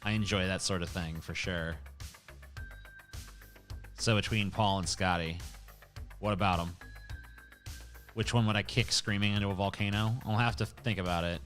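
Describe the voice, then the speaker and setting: A man's voice: low-pitched, fairly even intonation, moderate pace, pauses often, fairly bright tone, faint music behind. A man hosting a livestream, reading chat comments aloud in a rambling, profane, casual way. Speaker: livestream host.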